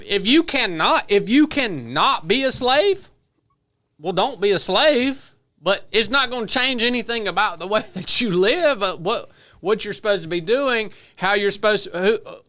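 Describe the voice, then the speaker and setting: A man's voice: high voice, lively intonation, normal speed, sharp tone. A man in a casual discussion of faith, speaking earnestly and repeating phrases for emphasis. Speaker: podcast team member.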